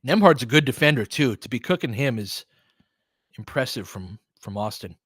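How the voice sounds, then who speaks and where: Male livestream sports commentator, average pitch, conversational and clipped, live game calls, very dry sound. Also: lively intonation, moderate pace, a bit bright, dry recording. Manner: conversational, clipped play-by-play phrases. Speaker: livestream play-by-play host. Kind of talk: casual live basketball commentary with fan chat.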